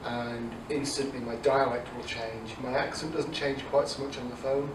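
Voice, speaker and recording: A man in his forties from Dublin with an Irish accent softened by English, answering interview questions conversationally as a youth ministry director. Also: medium pitch, fairly even intonation, moderate pace. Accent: Irish mixed with English. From south Dublin, Ireland. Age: 40s. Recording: echoey, distant mic, noisy room.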